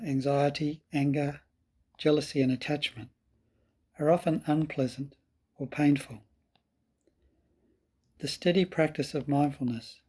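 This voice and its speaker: A man with a slightly husky voice guiding a meditation in calm, measured, deliberate phrases. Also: fairly high pitch. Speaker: meditation teacher. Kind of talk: slow guided meditation.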